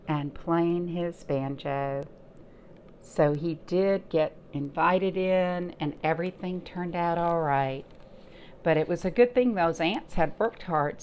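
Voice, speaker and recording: Woman hosting children's storytime, noisy recording. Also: on the low side. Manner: sing-song, expressive, asking simple questions as to small children. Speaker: children's storytime reader and host. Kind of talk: read-aloud storytime with chatty asides to young children. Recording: noticeable background noise.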